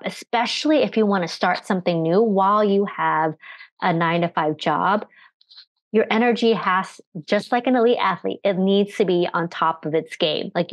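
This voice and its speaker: A woman's voice: lowish voice, no echo. Woman coach explaining slides over webcam. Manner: earnest, explanatory, frequent rhetorical questions. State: recovering from a cough. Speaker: energy management coach. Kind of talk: presenting slides in a webinar. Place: home room with bookshelves. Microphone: video call webcam.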